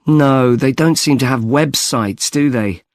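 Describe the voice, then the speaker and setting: A man's voice: mid-range voice, measured pace, no echo, clean studio sound, lightly acted. A man playing an earnest young student in a scripted listening-test dialogue. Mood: earnest, chatty. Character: earnest young male student on farm placement.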